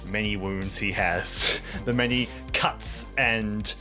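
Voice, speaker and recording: A man's voice: lowish voice, steady tone, slow, bright, forceful tone, faint music behind. A man playing in an improvised story, describing his character's actions in an informal, conversational way. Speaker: player. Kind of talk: improvised character narration, casual.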